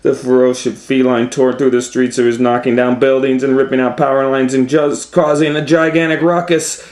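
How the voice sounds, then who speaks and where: A man's voice: mid-range voice, flat delivery, moderate pace, a little mellow. A man reading a children's story aloud as a storytime host, with theatrical, suspenseful dramatic narration.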